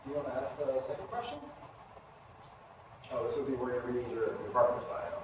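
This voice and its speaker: A man's voice: medium pitch, expressive, fairly quick. A man working as an off-camera facilitator, casually prompting the people in an interview. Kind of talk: casual behind-the-scenes prompting. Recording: very echoey room, distant, noisy.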